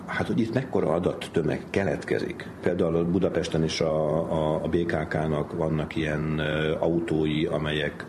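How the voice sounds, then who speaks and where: A middle-aged man explaining things in an interview, haltingly, as an academic thinking aloud. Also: low-pitched, a little mellow. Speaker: academic interviewee, university department head. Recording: clearly noisy interview background.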